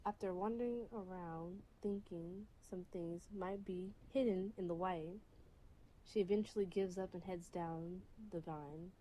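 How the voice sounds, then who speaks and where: A woman's voice: lowish voice, steady tone, unhurried, dark tone, slight background noise, poor audio. A woman reading a scripted fairy tale as its storyteller. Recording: faint music bed under voice.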